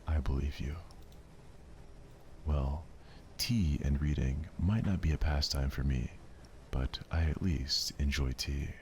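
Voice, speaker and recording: Everyday schoolboy lead, voiced by a man, deep register, slightly awkward, casual delivery, faint noise. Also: slow, long pauses, exaggerated voice. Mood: casual, unsure. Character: ordinary, awkward teenage schoolboy protagonist.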